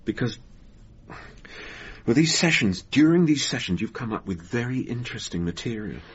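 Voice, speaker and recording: A man playing an intense, halting hypnotherapist in a naturalistic radio drama. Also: medium pitch, big pitch swings, husky. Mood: earnest, insistent. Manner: halting, urgent, insistent. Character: intense, hesitant male hypnotherapist, insistent and secretive. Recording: dull lo-fi radio broadcast.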